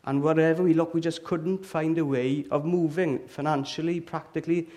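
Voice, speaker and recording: Welsh man, middle-aged, church speaker, chatty and funny, slightly high voice. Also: steady tone, moderate pace, dark tone. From Wales. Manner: chatty and humorous, often checks in with "okay?" and "all right?". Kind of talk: lively, joking sermon to a live congregation. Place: church hall with an audience.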